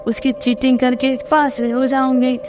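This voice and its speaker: A man's voice: very high-pitched, level tone, normal speed, background noise, pitched above the performer's own voice. A man voicing a spoiled, scheming rich schoolgirl in a bossy, entitled way for a cartoon story.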